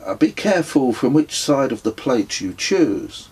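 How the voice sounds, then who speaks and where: Man reading as a playful, higher-pitched English gent. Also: big pitch swings, unhurried, a little mellow, breathy edge. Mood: mock-solemn. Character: playful English father, walker, mock-theatrical tea host.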